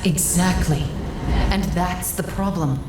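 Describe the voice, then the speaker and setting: A woman voicing a calm magical construct who guides a warrior in a video game, speaking in measured, formal instructions. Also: fairly low pitch, noisy background. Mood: grave, urgent. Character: calm female magical construct, a guiding strategist. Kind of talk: scripted video game dialogue and mission briefing. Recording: roomy echo, loud game music behind.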